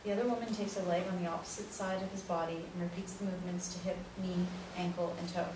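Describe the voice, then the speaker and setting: A woman's voice: lowish voice, level tone, quick pace. A woman reading scripted stage directions as the narrator of a play. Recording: very echoey room, distant mic, noisy.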